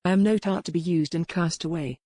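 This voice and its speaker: A woman's voice: fairly low pitch, brisk, warm tone, dry recording, natural voice. Female narrator as scorned noblewoman, indignant, biting, proud, dry close sound, historical romance audiobook. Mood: outraged. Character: spiteful, spurned noblewoman, proud and sharp-tongued lover.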